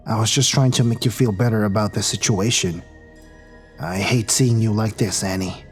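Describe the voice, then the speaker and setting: A man playing a protective, cautious crew member in an audio drama, speaking earnestly. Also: lowish voice.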